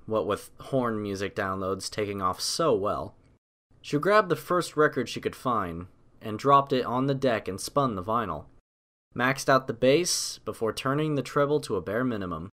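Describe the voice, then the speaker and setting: Low-pitched man narrating a story. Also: fairly bright tone. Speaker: audiobook narrator.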